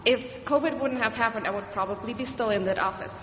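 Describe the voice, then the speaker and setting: A woman in her thirties with a German accent, her voice set a touch high, pausing often with fillers as she gives a candidate's opening statement. She sounds through a dull-toned mic in a big echoey hall with a long reverb. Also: slight background noise. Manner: hesitant, with soft laughs and fillers.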